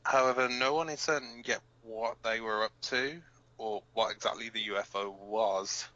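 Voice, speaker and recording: A man playing a gruff, battle-scarred resistance fighter co-hosting an underground radio broadcast in an audio drama, blunt, profane and halting. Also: mid-range voice, fairly even intonation, bright, forceful tone, lightly acted. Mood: bitter. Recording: dull lo-fi sound.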